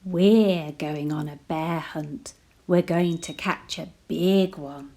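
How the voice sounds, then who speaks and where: Woman teacher reading a picture book to kids: very slow, lowish voice, playful, whispers sound words. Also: mellow. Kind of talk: expressive read-aloud storytelling for children.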